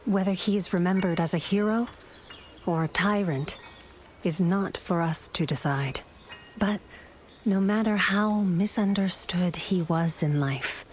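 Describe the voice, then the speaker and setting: An actress playing a solemn, thoughtful female companion in a video game, speaking in a measured, earnest way over music. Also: fairly low pitch, a little mellow, slight background noise, close to an everyday voice. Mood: somber, reflective. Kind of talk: dramatic video game voice acting. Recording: music behind the voice.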